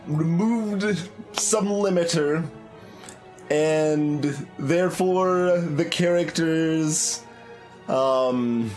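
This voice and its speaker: Man musing over a game, halting. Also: a little high, a little mellow. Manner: thinking aloud, many fillers and restarts. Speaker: let's play host. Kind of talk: casual game commentary.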